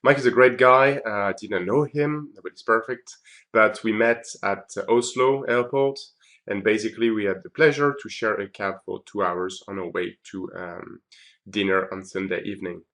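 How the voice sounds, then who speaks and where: Chatty man with a low voice. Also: fairly even intonation, normal speed, fairly bright tone. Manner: chatty, frequent ums and uhs. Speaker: video blogger. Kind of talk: conference recap vlog.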